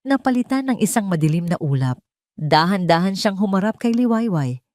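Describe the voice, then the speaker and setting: A woman's voice: mid-range voice, expressive, moderate pace, darkish tone, dry recording, clean studio sound. A woman narrator reading a dramatic scripted story.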